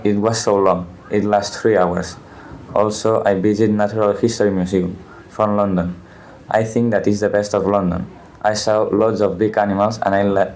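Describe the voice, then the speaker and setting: A teenage boy from Spain talking in a student podcast, halting and correcting himself as he goes. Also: lowish voice, darkish tone, a little hiss or hum, low-quality recording. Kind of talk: podcast discussion.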